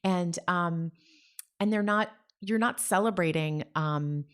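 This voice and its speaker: A woman's voice: fairly low pitch, takes it slowly. A woman in her forties from New Jersey, a story salon founder, talking enthusiastically and animatedly as an interview guest. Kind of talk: casual conversation.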